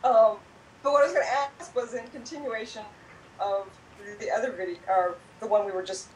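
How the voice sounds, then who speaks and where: A woman's voice: mid-range voice, fairly quick, darkish tone, slight background noise, low-quality recording. A tired woman chatting earnestly over a video call, hesitant and often repeating her words. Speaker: guest, host's sister. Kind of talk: casual chat.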